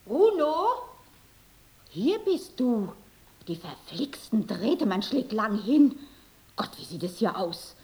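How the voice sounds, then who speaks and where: An actress playing a brisk, strict but caring mother in a radio play, bossy and exasperated. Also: a little high, big pitch swings, a little mellow, husky, exaggerated voice. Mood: brisk, fussy. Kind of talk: radio drama acting.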